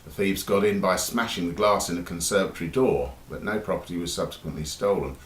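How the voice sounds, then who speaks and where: British guy reading local news, pretty deep voice, echoey room w a bit of noise. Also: a little fast, fairly bright tone. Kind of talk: scripted news bulletin reading. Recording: roomy sound with faint background noise.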